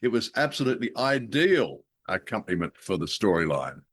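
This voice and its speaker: A man's voice: on the low side, very animated, a little fast, fairly bright tone, breathy edge. A man co-hosting a podcast, chatting casually and enthusiastically about an old radio play with many fillers. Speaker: podcast co-host. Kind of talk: casual review chat.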